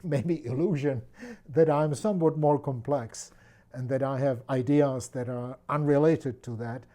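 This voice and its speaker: A man in his sixties from the Czech Republic with a Czech accent, speaking thoughtfully in an interview with many pauses and restarts. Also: medium pitch, warm tone. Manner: thoughtful, with frequent fillers and restarts. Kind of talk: reflective personal interview.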